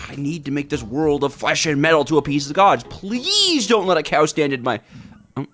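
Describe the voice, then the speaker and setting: A man hosting a gaming video, narrating from a script with wry, jokey asides and an expressive delivery. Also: a little high, moderate pace, soft background music.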